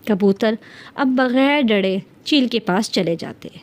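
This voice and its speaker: A woman's voice: fairly high pitch, expressive, takes it slowly. A woman narrating a scripted fairy tale as a storyteller.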